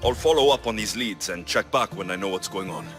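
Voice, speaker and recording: An actor playing a capable, earnest Greek mercenary hero in a video game cutscene. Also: male voice, on the low side, expressive, brisk, a bit bright, soft background music. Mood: calm, sincere.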